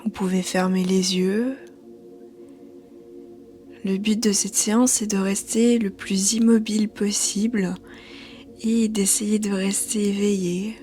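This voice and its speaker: A woman leads a guided relaxation as a yoga teacher, speaking very slowly in an even tone. Her delivery is soothing and breathy, often close to a whisper. Soft music plays faintly behind her. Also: medium pitch. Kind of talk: guided meditation narration. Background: quiet music.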